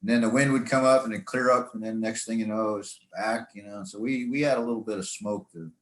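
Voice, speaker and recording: A man giving an informal club talk about his hobby in a rambling, halting, folksy way. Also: lowish voice, fairly even intonation. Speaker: presenter, amateur radio hobbyist. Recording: echoey room sound.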